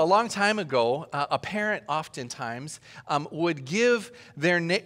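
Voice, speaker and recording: High, lively male pastor in a hall. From Austria. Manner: chatty and joking, asks the audience questions. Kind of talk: conversational sermon. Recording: long reverb of a large hall.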